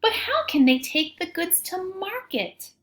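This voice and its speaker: A woman teacher reading a picture book aloud to children in an expressive way, pausing to ask them questions. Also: very high-pitched. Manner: expressive, child-directed, often asking questions.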